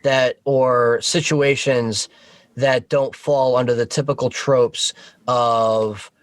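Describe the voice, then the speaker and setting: A man with a medium-pitched voice speaks as a podcast guest. His delivery is informal and explanatory, in a casual conversational flow. The sound is dry, with very little room on it. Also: fairly even intonation, takes it slowly, dry recording.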